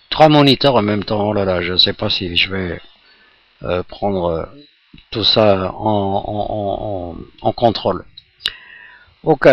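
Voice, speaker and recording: Deep-voiced man, rambling radio hobbyist in a room. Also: fairly bright tone, dry recording, dull audio. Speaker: amateur radio operator filming the contact. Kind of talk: casual radio chat.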